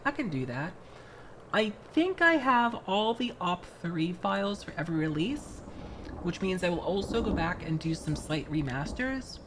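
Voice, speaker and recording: A chatty streamer talks through a model kit build, jumping between topics and stopping to greet viewers. The voice moves up and down a lot, bright and lively. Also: medium pitch, moderate pace, noisy background. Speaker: VTuber streamer. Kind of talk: casual live-stream chat while building a model kit.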